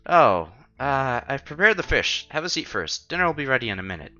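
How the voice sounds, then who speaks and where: A man streaming a visual novel, reading the story aloud with casual, playful, expressive commentary. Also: medium pitch, brisk. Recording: dull lo-fi sound, music behind.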